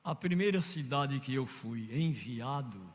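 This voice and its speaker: A man preaching a sermon from Northeast Brazil, telling stories, acting out quoted voices and building to shouts. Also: medium pitch, lively intonation, unhurried. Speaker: pastor. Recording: long reverb of a large hall.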